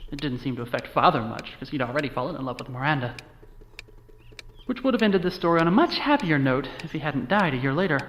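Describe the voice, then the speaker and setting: A man's voice: on the high side, fairly bright tone, slight background noise. An actor playing a wry, guilt-ridden young American man recounting his family history in an audio drama. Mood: somber, reflective. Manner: wry, sardonic, confiding. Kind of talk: audio drama monologue, conversational storytelling.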